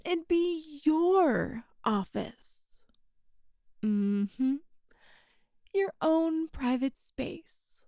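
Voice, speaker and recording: A woman acting a slow, high-voiced, flirtatious female boss. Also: animated, warm tone, no room sound, clean studio sound, exaggerated voice. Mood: coy persuasion. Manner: slow, coy, teasing, breathy pauses. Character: flirtatious, possessive female boss smitten with employee. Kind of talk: intimate ASMR audio roleplay.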